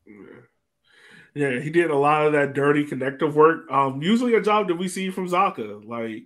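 A man's voice: fairly high pitch, moderate pace, a bit bright. A man hosting a casual sports discussion over a video call. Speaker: podcast host. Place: indoor room on a video call. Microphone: video call microphone.

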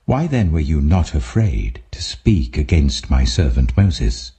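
A man voicing a stern, majestic God in scripture narration, grave, commanding and deliberate. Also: a bass voice, big pitch swings, mellow, full character voice. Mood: stern reproach.

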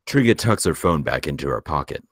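A man's voice: a bass voice, big pitch swings, fairly quick, no echo, studio quality. A man narrating stage directions from a script in a plain reading style.

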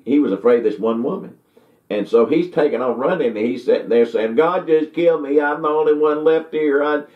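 A man gives a folksy, rambling Bible lesson to the camera from a study, with many restarts and fillers. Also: mid-range voice. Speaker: Bible teacher. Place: indoor study with bookshelves. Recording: tinny, echoey, from far off.

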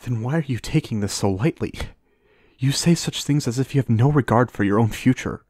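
A man's voice: mid-range voice, fairly quick, darkish tone, no echo, studio quality, lightly acted. A man playing a dutiful, earnest knight devoted to his queen in a romantic fantasy ASMR roleplay, speaking in formal courtly phrases. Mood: worried.

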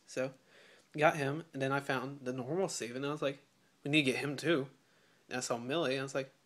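A teenage boy chatting eagerly through a toy unboxing while getting over a cold, often clearing his throat, filmed on a phone at home. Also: mid-range voice. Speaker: toy reviewer. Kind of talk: casual unboxing review. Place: home room with patterned rug. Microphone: phone camera held close. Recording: clear crinkling packaging noise. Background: loud crinkling plastic packaging.

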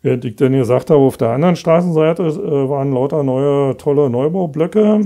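A man, a guest author talking in a relaxed, rambling way with many fillers, on a lapel mic in a studio. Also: a little high, normal speed, creaky. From Berlin, East Germany. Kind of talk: relaxed conversational interview. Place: studio set with brick wall.

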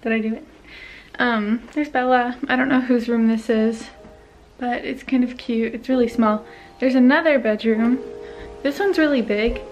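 A woman in her twenties, talking casually and excitedly to a handheld camera while touring a rental house. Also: a little high, moderate pace, muffled recording, soft background music. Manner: bubbly, excited, filler-heavy. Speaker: travel vlogger. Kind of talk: casual vlog chat. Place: rental house indoors and forest outdoors. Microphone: handheld camera at arm's length.

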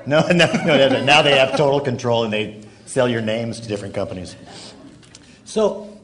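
A man's voice: medium pitch, moderate pace, fairly bright tone. A man casually moderating a live stage panel, often repeating and restarting his phrases. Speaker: panel moderator, high school teacher. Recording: live stage microphone with background noise.

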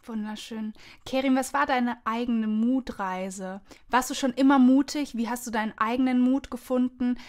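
A woman's voice: on the high side, fairly even intonation, moderate pace, hardly any pauses. A woman hosting an interview podcast in a personal, conversational style, with an effusive, gushing manner. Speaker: podcast host.

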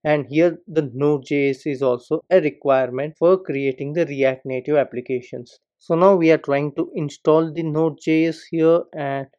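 A man talks through a coding tutorial over his screen recording. His voice sits a little high, and he moves from step to step in a calm, explanatory way. He keeps coming back to the word "particular". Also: muffled recording.

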